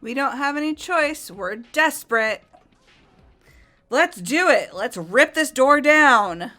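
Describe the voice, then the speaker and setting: A woman voicing an earnest, energetic teenage girl in a video game, forthright and emphatic. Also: high-pitched, takes it slowly, soft background music, full character voice. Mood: determined. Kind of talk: amateur voice acting of a video game.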